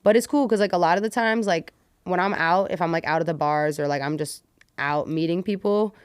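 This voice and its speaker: A woman's voice: fairly low pitch, brisk, clear, resonant tone, no room sound, clean studio sound. A woman speaking casually as a podcast guest, telling stories in an informal, slangy way. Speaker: podcast guest, community builder. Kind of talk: casual conversational interview.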